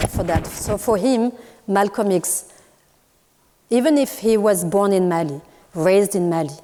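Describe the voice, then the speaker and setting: A woman's voice: on the low side, dark tone. Thoughtful woman speaking in a reverberant hall. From Morocco. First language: Moroccan Arabic. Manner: thoughtful, hesitant with many fillers and restarts. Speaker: artist in a panel talk. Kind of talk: public talk at a university event. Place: lecture hall.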